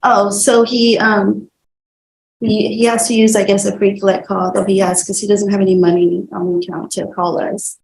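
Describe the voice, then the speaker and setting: Woman, witness, hesitant, gravelly, echoey courtroom. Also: mid-range voice, little pitch movement, darkish tone. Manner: hesitant, halting answers with fillers. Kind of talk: courtroom testimony. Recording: echoey courtroom with faint room noise.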